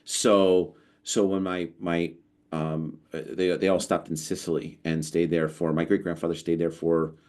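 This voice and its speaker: American guy with a real low voice, chatty podcast co-host, keeps restarting mid-story. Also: a little slow. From United States. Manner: animated, storytelling, lots of restarts. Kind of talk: casual conversation.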